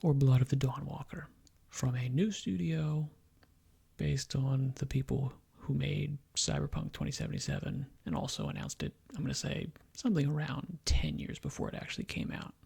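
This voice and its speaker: A man speaking in soft, whispery ASMR style, giving rambling, halting gaming commentary as he thinks aloud. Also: medium pitch, big pitch swings. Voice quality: whispery, breathy, crackly. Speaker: video game commentator.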